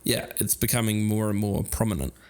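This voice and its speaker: A man's voice: on the low side, fairly quick, a little mellow, natural voice. A man playing an eager podcast co-host who investigates a mystery he believes in. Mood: insistent.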